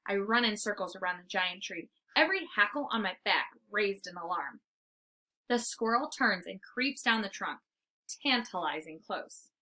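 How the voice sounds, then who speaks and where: A woman reading a children's storybook aloud in an expressive, theatrical way. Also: mid-range voice, normal speed, low-quality recording. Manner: animated, theatrical. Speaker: storybook reader. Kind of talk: expressive read-aloud narration.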